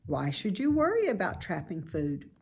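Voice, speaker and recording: A woman playing a wise, calm, self-assured female spider in a children's storybook, speaking in a measured, instructive way. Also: on the low side, slightly rough, full character voice. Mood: frank, encouraging. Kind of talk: children's storybook read-aloud.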